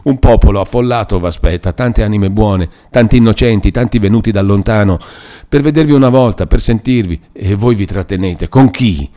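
A man's voice: deep voice, a little slow, warm tone. A man reading a classic novel aloud, voicing an anguished, repentant nobleman who pleads through tears. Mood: pleading. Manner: emotional, pleading.

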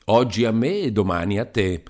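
A man's voice: lowish voice, expressive, normal speed, a little mellow, lightly acted. A man reading aloud and voicing a shy, awkward sick man who mumbles hesitantly. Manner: mumbling, hesitant, few words.

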